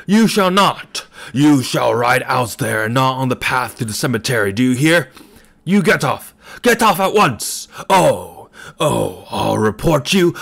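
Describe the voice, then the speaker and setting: A man reading a storybook, voicing an enraged shabby man who bellows curses in a high, trembling voice. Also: big pitch swings, unhurried, gravelly, a bit bright, heavily acted. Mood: raving fury. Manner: bellowing and cursing.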